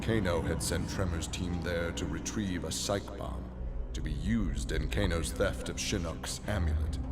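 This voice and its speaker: A low-pitched man narrates a dark fantasy game story with solemn, measured drama. His voice trails into long reverb, with clear music playing behind it.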